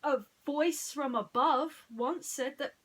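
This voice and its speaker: A woman voicing a timid toy alien outsider in a bedtime story, speaking hesitantly and haltingly and trailing off. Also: high-pitched, a bit bright, voice pushed up. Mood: timid, unsure.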